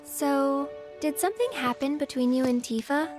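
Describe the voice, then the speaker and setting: An actress plays a young woman adventurer with a high, soft voice. She is gentle, playful and perceptive, speaking in a video game drama style over loud music. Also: normal speed, natural voice. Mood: gentle, teasing.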